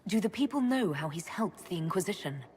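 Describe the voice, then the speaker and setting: A woman's voice: fairly low pitch, lively intonation, brisk, a little mellow, a little hiss or hum, lightly acted. A woman playing a composed, direct heroine and leader in a fantasy video game. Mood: firm. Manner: plain-spoken, direct. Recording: music behind the voice.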